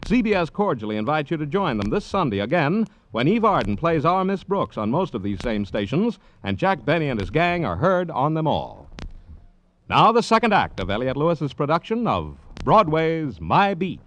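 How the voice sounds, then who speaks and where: A man's voice: on the high side, big pitch swings, normal speed. A man reading scripted announcements in the polished style of mid-century American network radio. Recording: faintly noisy vintage radio broadcast.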